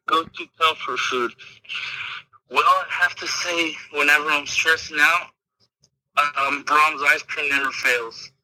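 A man's voice: fairly high pitch, steady tone, slow, sharp tone, no room sound. A man talking casually as a guest on a phone call, answering questions. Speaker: called guest.

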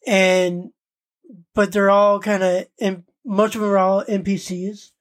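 Slow-paced woman chatting casually. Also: medium pitch, little pitch movement. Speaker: podcast co-host. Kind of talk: casual chat recapping an anime parody.

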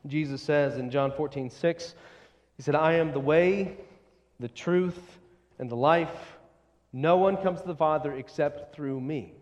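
Male preacher for expository sermon: high voice, deliberate and emphatic, recorded with long reverb. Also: darkish tone, lo-fi sound. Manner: emphatic, deliberate, repeating short phrases. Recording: long echoing hall reverb.

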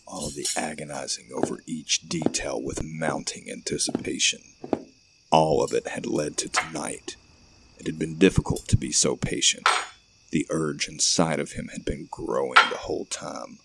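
Horror narrator: man, extremely low gravelly voice, measured and ominous, noticeable background noise. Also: level tone. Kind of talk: scripted horror story narration.

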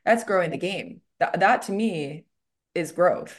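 A woman's voice: lowish voice, expressive, unhurried. A young woman co-hosting a podcast in casual chat, with a laid-back, informal, slangy way of talking. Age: young adult. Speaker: podcast co-host, softball player.

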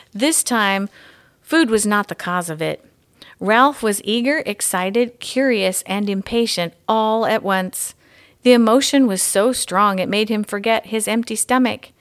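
A woman reading to children as a guest audiobook narrator. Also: medium pitch. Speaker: guest story reader.

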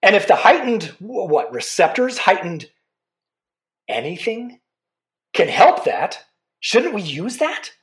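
Fervent campaigner, insistent and rhetorical, big melodic swings, audio drama debate. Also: female voice, medium pitch, very animated, slow pace, pauses often. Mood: urgent, insistent. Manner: emphatic, repetitive, rhetorical. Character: impassioned political campaigner for safety, zealous and insistent. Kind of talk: fiction podcast drama, talk show debate.

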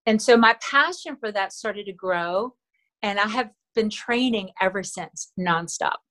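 A middle-aged woman from the Philippines, Tagalog her first language, speaks as a podcast guest at a medium pitch. She talks earnestly and warmly, with frequent fillers and soft laughs. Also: animated, measured pace. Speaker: actress, interview guest. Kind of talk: casual interview talk.

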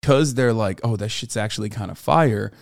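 A man's voice: lowish voice, normal speed, creaky. A man from Turkey co-hosting a podcast, joking and swearing loosely as he rambles in casual chat. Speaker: podcast host.

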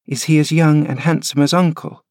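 Brief: a male reader doing a whiny little boy, pitched up, questioning and reluctant, dry close read. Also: fairly even intonation, moderate pace, darkish tone, no echo, lightly acted. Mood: curious. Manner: plaintive, questioning. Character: sickly fretful young boy.

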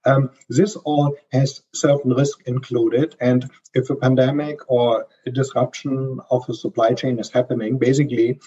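A man's voice: medium pitch, flat delivery, normal speed, few pauses, dark tone, breathy edge, highs cut off. A man from Germany with a strong German accent, answering interview questions as a consultant, hesitating often with ums and restarts. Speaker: supply chain consultant guest.

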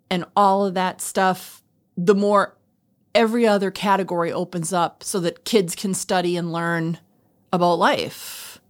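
A middle-aged woman talking casually and reflectively, explaining things in an earnest, conversational way as a co-host. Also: mid-range voice. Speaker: podcast co-host, former elementary school teacher.

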